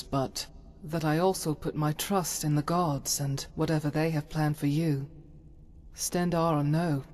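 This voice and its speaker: Woman as a low-voiced, solemn devout fantasy adventurer. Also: a little mellow. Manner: formal, archaic phrasing, measured and earnest. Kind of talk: scripted fantasy game dialogue.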